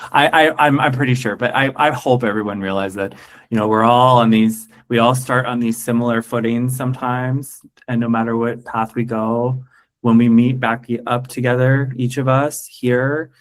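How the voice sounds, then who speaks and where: A man's voice: medium pitch, fairly even intonation, normal speed. Friendly American man in conversation. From United States. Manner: friendly, reflective, asks questions. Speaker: podcast co-host. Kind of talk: podcast conversation.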